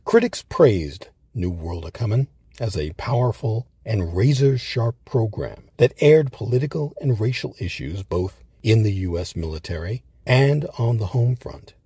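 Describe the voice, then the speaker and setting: Very husky, mellow American male narrator. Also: on the low side, lively intonation, unhurried, dry recording. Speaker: series narrator and host. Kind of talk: scripted narration and sign-off. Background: music.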